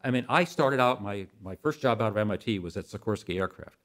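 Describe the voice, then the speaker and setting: A man's voice: lowish voice, expressive, a little fast, fairly bright tone. An older man speaking as an expert guest in an interview, explaining in a conversational way and repeating phrases for emphasis.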